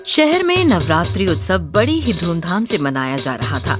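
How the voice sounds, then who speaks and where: A woman's voice: on the low side, big pitch swings, moderate pace, music in the background. A woman giving dramatic scripted narration of a horror story.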